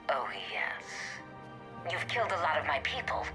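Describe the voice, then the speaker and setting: An actress playing a sadistic, unhinged, vengeful imprisoned mercenary boss in a video game, gloating with menace and contempt. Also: female voice, sits low, expressive, slow, over music. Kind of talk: dramatic video game dialogue.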